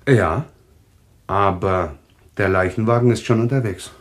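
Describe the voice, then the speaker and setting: An actor playing a composed, cryptic man with eerie visions of death in a German radio thriller, speaking deliberately. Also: lowish voice, natural voice. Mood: cool, ominous. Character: calm, eerily taunting man claiming visions of deaths.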